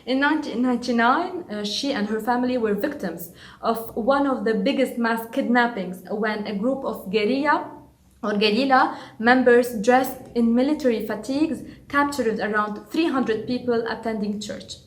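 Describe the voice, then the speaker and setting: A woman's voice: on the high side, moderate pace, echoey room, a little hiss or hum. A woman with a Lebanese Arabic accent, hosting a television interview and interpreting for viewers. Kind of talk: presenting and translating for viewers.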